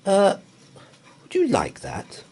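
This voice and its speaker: A man's voice: high voice, wildly swooping pitch, takes it slowly, a little mellow, breathy edge, pitched above the performer's own voice, full character voice. A man voicing a mild, courteous, slightly nervous married man in a children's storybook.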